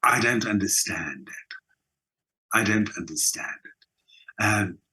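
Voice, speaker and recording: A man's voice: fairly low pitch, slow pace, sharp tone, low-quality recording. A middle-aged South African man talking conversationally as an interview guest, emphatic and full of anecdote. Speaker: guest, theatre performer.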